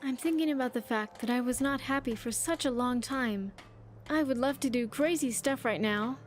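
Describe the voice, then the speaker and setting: A high-pitched young woman, voiced by an actress, plays an earnest game companion. She talks in a sincere, chatty way with slightly formal wording, over soft background music. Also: normal speed, close to an everyday voice. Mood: playful, wistful. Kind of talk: video game character dialogue.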